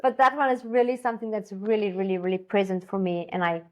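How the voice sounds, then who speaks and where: A woman's voice: medium pitch, flat delivery, fast. A woman from Africa, an Afrikaans speaker, talking casually and enthusiastically, rambling with many fillers and restarts. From South Africa. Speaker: co-founder and education designer. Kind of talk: casual conversation.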